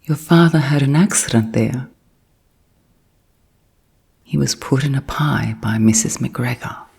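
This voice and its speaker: A woman voicing a gentle old mother rabbit from a bedtime storybook, cautioning her children in a soft, motherly way. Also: very deep voice, unhurried, full character voice. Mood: gently cautioning. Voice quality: soft, breathy. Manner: motherly, careful, gently warning.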